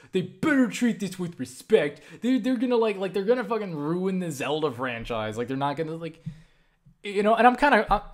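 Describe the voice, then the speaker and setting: A young man answering viewer questions in a casual vlog, rambling and swearing, with many restarts. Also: a little high. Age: young adult. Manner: rambling, profane, animated with frequent restarts.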